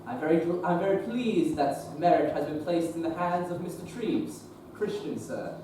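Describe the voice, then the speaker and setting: A man's voice: fairly high pitch, expressive, moderate pace, warm tone, natural voice. A young man acting an earnest, pious Victorian bishop in a stage play, formal and sermonizing. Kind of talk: teen stage play performance. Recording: echoey stage recording from far off, noisy.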